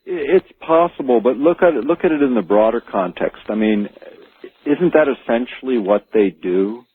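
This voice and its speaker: A man speaking as an expert guest on a radio call-in show, explaining things in a halting but emphatic way. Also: medium pitch, expressive, breathy edge. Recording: tinny phone-like radio line.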